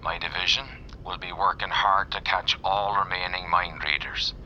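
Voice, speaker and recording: An actor playing a stern federal special agent giving a formal, authoritative press briefing in an audio drama. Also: male voice, sits low, steady tone, moderate pace, natural voice. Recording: muffled radio news clip, faint noise.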